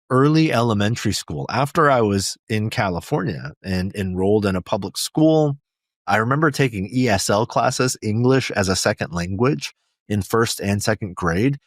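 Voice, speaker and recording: A man in his forties hosts a podcast and talks in an earnest, reflective way, now and then restarting a phrase. His voice sits very low. The recording is dry and close, with no room sound. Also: no room sound. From South Korea. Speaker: podcast host. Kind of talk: podcast intro monologue.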